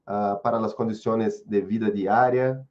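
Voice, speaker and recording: A man who is a native Portuguese speaker with a Brazilian accent, giving a webinar talk in careful, halting speech full of restarts and fillers. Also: lowish voice, steady tone, darkish tone, lo-fi sound. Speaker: guest speaker, researcher. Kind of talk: webinar presentation.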